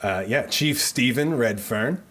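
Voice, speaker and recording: A man's voice: medium pitch, expressive, unhurried, a bit bright. A man co-hosting a comedy podcast with casual, joking banter. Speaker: podcast co-host.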